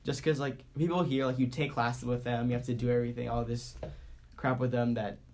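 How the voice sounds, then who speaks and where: A man from the Boston area co-hosting a podcast in casual chat, congested from a cold, talking in halting bursts with lots of restarts and fillers. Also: mid-range voice, flat delivery, darkish tone. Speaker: podcast co-host.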